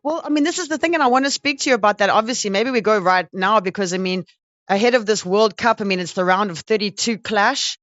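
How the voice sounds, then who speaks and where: A woman's voice: mid-range voice, quick pace, a bit bright, no echo. Chatty South African woman host, slightly muffled. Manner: chatty, informal, effusive. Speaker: radio or show host interviewing a guest. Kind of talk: conversational interview.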